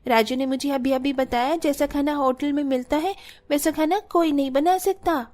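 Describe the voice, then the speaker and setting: A woman's voice: sits high, fairly even intonation, moderate pace, darkish tone, close to an everyday voice. A woman playing a stubborn, sulky little girl from a poor family in a cartoon story. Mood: sulky, accusing.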